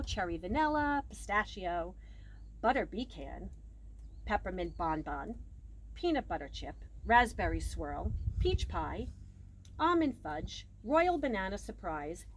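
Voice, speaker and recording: A woman reads a children's picture book aloud expressively, leaning on key words and varying her volume. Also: fairly high pitch. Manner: expressive, with emphasized words and varied dynamics. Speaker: storybook reader. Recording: clear background noise.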